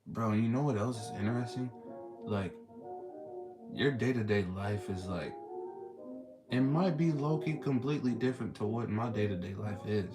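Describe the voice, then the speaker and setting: Laid-back young Texan man, low voice. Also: moderate pace. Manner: laid-back, slangy, reading and answering chat. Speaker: streamer host. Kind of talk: casual live chat with callers. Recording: loud music playing behind.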